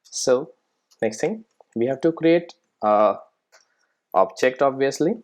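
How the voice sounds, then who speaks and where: A software tutorial presenter explaining things step by step in an informal way, often checking in with the listener. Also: mid-range voice, expressive, takes it slowly.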